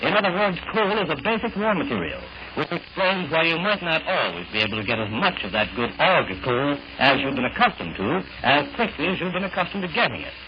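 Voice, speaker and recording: Lively 1940s male announcer, noisy old recording. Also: fairly high pitch, a little fast, hardly any pauses, lo-fi sound. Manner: formal, explanatory address to the audience. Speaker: radio announcer. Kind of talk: 1940s radio sponsor announcement, scripted.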